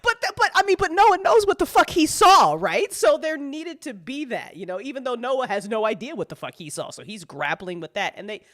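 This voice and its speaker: A woman's voice: sits high, big pitch swings, brisk, few pauses, a bit bright. A woman in a casual panel chat, speaking as a director in an emphatic, gushing, profanity-laced way. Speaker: audio drama director.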